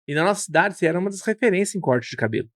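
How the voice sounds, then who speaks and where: A man's voice: high voice, expressive, racing, no room sound. Man asking questions in a chatty interview, fond tone, dry close sound. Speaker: podcast host, interviewer. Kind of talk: casual interview.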